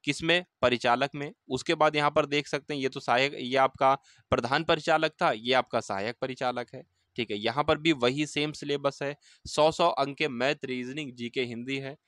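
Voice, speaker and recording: A man's voice: mid-range voice, fairly even intonation, moderate pace, fairly bright tone, no room sound, clean studio sound. A man giving an informal explanatory lesson like an exam coach, repeating points and often checking that listeners follow. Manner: repeats points, asks and answers own questions, checking in often. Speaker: exam-news presenter and coach.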